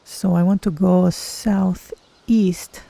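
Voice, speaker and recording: A streamer voicing a gentle cat-folk adventurer in a video game roleplay, speaking in deliberate, formal phrases as if musing aloud. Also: mid-range voice, fairly even intonation, vocal fry, exaggerated voice, low-quality recording. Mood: musing, calm.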